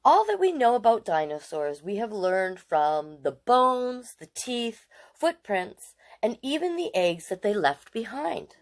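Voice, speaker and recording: A woman in her late forties hosting storytime, reading aloud to children with expressive emphasis and chatty asides. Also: medium pitch, a little slow, hardly any pauses. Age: late 40s.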